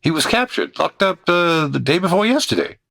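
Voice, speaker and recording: A man's voice: a little high, very animated, a little fast, gravelly, pushed and bright, heavily acted. A man doing a folksy, drawling cowpoke. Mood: bewildered. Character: puzzled drawling drifter, a man woken in bed.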